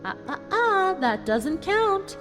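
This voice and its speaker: A woman's voice: extremely high pitch, very animated, takes it slowly, heavily acted. A woman voicing a coolly sadistic villain pony, mock-polite and taunting in a theatrical fan fiction drama. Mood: taunting, menacing. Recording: music behind the voice.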